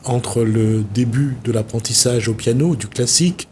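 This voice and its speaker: Male TV host, mid-pitched, meandering, thinks aloud, light studio hum. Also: normal speed, a little mellow. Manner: meandering, searching for words. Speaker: TV show host. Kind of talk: reflective studio talk.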